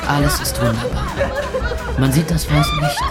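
A man's voice: on the high side, wildly swooping pitch, normal speed. An actor playing a wry, jaded young man in his twenties, narrating a radio play tersely in the first person. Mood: euphoric. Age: 20s. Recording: loud club music and crowd behind. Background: loud music and crowd.

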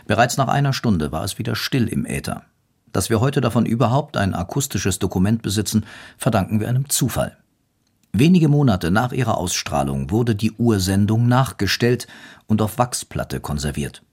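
Guy narrating a radio feature, lowish husky voice, scripted. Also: a little mellow.

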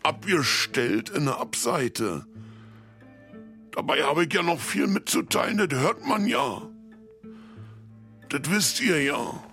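An actor playing a whiny, self-pitying washed-up media celebrity in a comedy mockumentary, with a plaintive, dramatic delivery. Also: male voice, a little high, big pitch swings, sharp tone, faint music behind, heavily acted. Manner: slow, plaintive, dramatic, sulky.

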